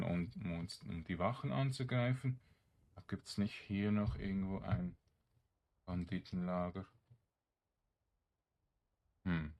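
A man's voice: sits low, creaky. A man giving casual let's play commentary, murmuring to himself in short remarks. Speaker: gamer, let's play host. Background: game music.

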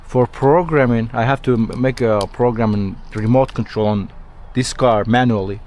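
A man's voice: medium pitch, steady tone, moderate pace, darkish tone. A man from Turkey with a Turkish accent, a locksmith chatting informally with a customer and often repeating himself. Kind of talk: casual chat with a customer. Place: on a job at a customer's vehicle. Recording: noisy on-the-job recording near vehicles.

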